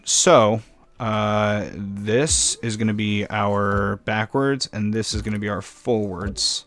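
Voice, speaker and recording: A man with a slightly raspy, fairly low voice talks through his building project in a loose, rambling way, often teasing himself about his mistakes. Soft music sits faintly behind him. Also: dry recording. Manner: rambling, self-mocking, chuckles often. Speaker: gameplay commentator. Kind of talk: casual building commentary.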